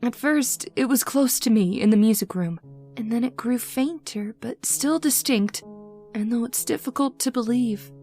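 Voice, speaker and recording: A woman's voice: a little high, moderate pace, mellow, soft background music, natural voice. A woman playing an anxious, frightened daughter in an old-time radio horror drama, with dramatic, earnest delivery. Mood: haunted, bewildered. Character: anxious, frightened daughter recounting her father's haunting.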